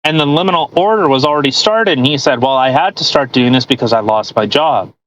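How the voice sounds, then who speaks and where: A man's voice: medium pitch, brisk, a bit bright, poor audio. A man hosting a podcast in casual, mocking commentary with crude joking. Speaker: podcast host.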